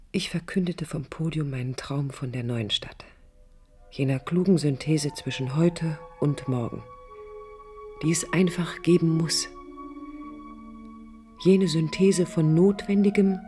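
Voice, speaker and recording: An actress playing a proud, vulnerable yet resolute woman architect in a radio play, speaking in a reflective, deliberate, emphatic way with a breathy voice. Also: deep voice, warm tone. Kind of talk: radio play acting, introspective first-person monologue.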